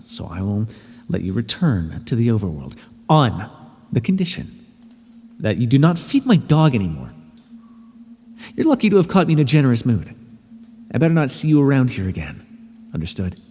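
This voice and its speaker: A man's voice: mid-range voice, very animated, normal speed, pauses often, warm tone, big-room sound. A man voicing an irritable male god who rules the underworld, speaking in a haughty, scolding way with dry sarcasm, as an audio roleplay.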